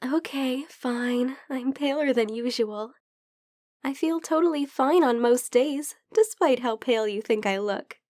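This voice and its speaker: Soft, high-voiced, unhurried vampire girl played by a woman. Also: no room sound, studio quality. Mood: grateful, weary. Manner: soft, hesitant, playfully teasing. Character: sick, gentle, teasing female vampire friend. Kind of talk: soft-spoken ASMR roleplay.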